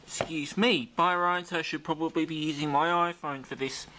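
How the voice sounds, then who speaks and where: Aussie guy doing a casual demo vlog, kinda high bright voice, rambles a bit. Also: steady tone, normal speed, slight background noise. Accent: Australian. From Australia. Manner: informal, rambling, thinking aloud. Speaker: video host demonstrating a vintage radio.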